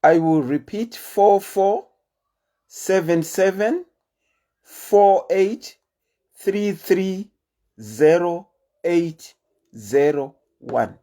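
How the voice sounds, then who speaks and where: A woman with a Zimbabwean accent and a deep voice, hosting a casual livestream chat and telling stories in a chatty, animated way. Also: very slow, long pauses. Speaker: host.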